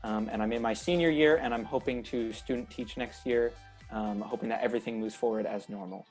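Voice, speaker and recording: A man's voice: medium pitch, steady tone, a little fast, a little mellow, faint music behind. A man in his twenties from Northern California, a drum major, answering interview questions over a video call, hesitant and earnest, with many fillers. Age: 20s.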